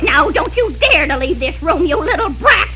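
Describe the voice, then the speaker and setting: An actress playing a bossy, jealous wicked stepmother with sharp, scolding delivery in 1940s radio comedy style. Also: high voice, big pitch swings, moderate pace. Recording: noisy, humming old radio recording.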